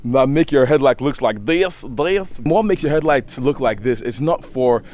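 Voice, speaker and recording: A man's voice: mid-range voice, animated, normal speed, darkish tone, slight background noise. Goofy, chatty young man vlogging cars. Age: young adult. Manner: chatty and goofy, laughs often, rambling restarts. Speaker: car vlogger. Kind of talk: casual how-to vlog. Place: outdoors beside his car.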